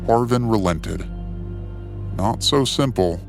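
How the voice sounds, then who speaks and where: A man's voice: on the low side, animated, takes it slowly, vocal fry. A man narrating a scripted adventure story in a dramatic storytelling style. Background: soft music.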